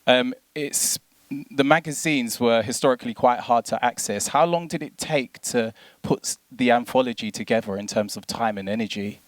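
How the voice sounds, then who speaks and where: A British man interviews a guest at a live event in an informal, engaged way. His voice sits at a medium pitch, and the sound is close and dry. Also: moderate pace, no room sound. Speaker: interviewer hosting a live talk.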